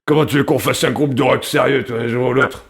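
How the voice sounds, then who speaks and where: A man's voice: mid-range voice, fairly even intonation, a little fast, vocal fry, husky. A man playing an earnest, rambling construction worker who wants to start a rock band, in a prank phone call. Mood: irritated. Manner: rambling, hesitant, pestering. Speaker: prank caller.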